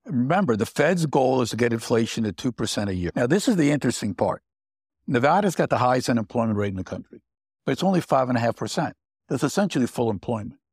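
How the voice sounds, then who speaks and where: A man's voice: mid-range voice, sing-song, fast talker, darkish tone, slightly rough, no echo, studio quality. A man speaking as an economist on a panel, explaining points and often restarting his phrases. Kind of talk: panel discussion.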